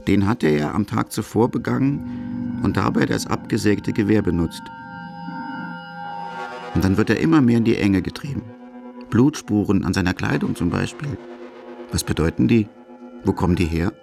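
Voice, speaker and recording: A man's voice: fairly low pitch, animated, normal speed, creaky, long pauses. A man reading scripted narration for a radio documentary. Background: music.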